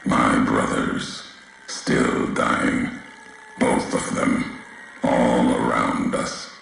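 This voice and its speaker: A man voicing a brooding, vengeful wasteland messenger in a video game, speaking in solemn, measured, cryptic pronouncements with a gravelly, husky voice. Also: extremely low pitch, fairly bright tone, a little hiss or hum, heavily acted. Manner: solemn, measured, cryptic oratory with weighted pauses. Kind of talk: dramatic video game character monologue.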